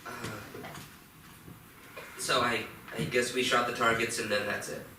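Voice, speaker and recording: Defensive teenage boy in a stage drama, played by a man: evasive, hesitant, sharp tone, echoey theatre. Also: medium pitch, level tone, measured pace. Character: defensive, evasive fifteen-year-old boy in trouble. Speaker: actor. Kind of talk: staged play reading. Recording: echoey theatre, distant mic, faint noise.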